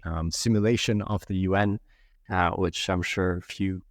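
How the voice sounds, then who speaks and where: A young man from Yemen speaks in a low, steady voice with little rise and fall. He takes his time, pausing on "um" and "uh" as he reflects. The sound is close and dry, with no room around it. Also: no echo. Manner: measured, thoughtful, many fillers. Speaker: podcast guest. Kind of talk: reflective interview answers.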